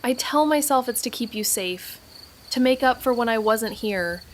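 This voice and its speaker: A woman playing a guilt-ridden young woman in an audio drama, speaking in an emotional, halting, confessional way. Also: fairly high pitch, animated, slight background noise, natural voice. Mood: guilty, weary. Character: Mags, guilt-ridden, anguished young woman, former best friend. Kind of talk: naturalistic audio drama acting.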